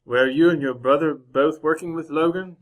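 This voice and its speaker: An actor playing a reserved, humane gentleman traveler in a radio Western, speaking in a measured, formal manner. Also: fairly high pitch, close to an everyday voice. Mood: regretful, restrained. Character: proper gentleman traveler narrating his Wild West journey, reserved, humane. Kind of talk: old-time radio drama narration and dialogue.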